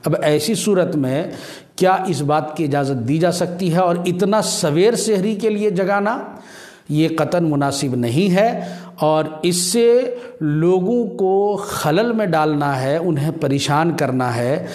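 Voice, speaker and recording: A man in his thirties with a slightly high voice gives religious guidance. He speaks formally and explains each point in a measured way, like a cleric addressing his viewers. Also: a little mellow. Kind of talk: religious advice talk to camera.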